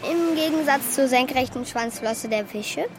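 A woman's voice: high-pitched, unhurried, music in the background. A woman playing a naive, earnest wooden puppet boy in a children's radio play, precocious and pedantic.

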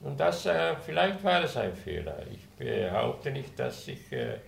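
An older man with a Czech accent, a native Czech speaker, speaking German haltingly in an interview. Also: medium pitch, wildly swooping pitch, creaky. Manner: halting, searching for words, frequent hesitations. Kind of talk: conversational interview. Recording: roomy room sound.

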